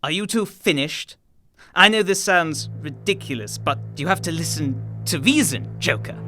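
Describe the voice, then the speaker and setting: A pompous, rich crime boss in a radio drama, high and piercingly sharp. He is fussy, impatient and indignant, his pitch swinging widely. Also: animated, normal speed, faint music behind. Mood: pleading, exasperated.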